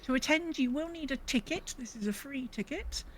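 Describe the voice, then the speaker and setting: A woman's voice: sits high, animated, normal speed, a little hiss or hum. A woman chatting casually as a podcast co-host, rambling and thinking aloud. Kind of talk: casual gaming podcast chat.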